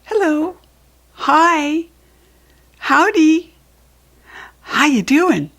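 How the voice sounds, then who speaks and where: A woman's voice: high voice, lively intonation, very slow, a bit bright, pitched above the performer's own voice, exaggerated voice. An American woman voicing friendly big clouds who greet a small newcomer in a children's storybook. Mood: friendly, welcoming.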